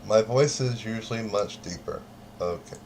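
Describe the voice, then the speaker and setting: A man reading party game cards aloud in casual chat, with a dry, deadpan delivery. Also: fairly low pitch, moderate pace, slight background noise. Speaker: card game player.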